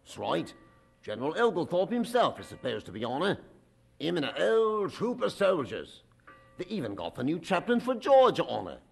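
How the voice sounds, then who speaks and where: Radio drama sailor: husky, wildly swooping delivery, chatty and sly, folksy grammar. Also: male voice, fairly high pitch, huge pitch swings, normal speed, soft background music, exaggerated voice. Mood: gossipy, amused. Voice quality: gravelly, husky. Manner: folksy, ungrammatical, teasing seafaring talk. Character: chatty, sly, knowing old-time working sailor.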